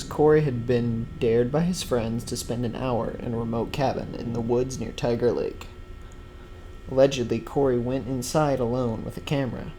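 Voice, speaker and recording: A man delivers explainer narration on a horror series. His voice sits at an average pitch for a man. There is some light background noise in the recording. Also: darkish tone, rough recording. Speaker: video narrator.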